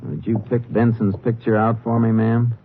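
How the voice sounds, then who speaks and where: An actor playing a calm, courteous Texas Ranger investigator in old-time radio drama, clipped and matter-of-fact. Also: male voice, fairly low pitch, moderate pace, close to an everyday voice. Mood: methodical. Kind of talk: 1940s-50s radio drama acting. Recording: narrow, noisy old radio recording.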